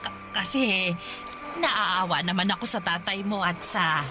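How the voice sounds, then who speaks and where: A woman playing a soft-hearted, apologetic old grandmother with a halting manner and a Filipino accent in a radio drama. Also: mid-range voice, music in the background, lightly acted. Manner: halting, hesitant.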